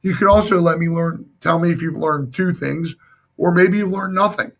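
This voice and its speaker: A man with a hoarse, husky voice that sits a little high. He coaches viewers through a live sales training with emphatic, direct delivery. The audio is dull and lo-fi. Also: normal speed.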